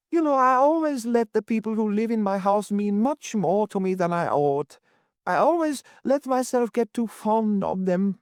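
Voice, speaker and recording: A narrator putting on a high, fretful, sentimental landlady. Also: darkish tone, smooth, dry recording, studio quality, close to an everyday voice. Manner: plaintive, emphatic, high-strung. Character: fretful, sentimental, agitated middle-class landlady.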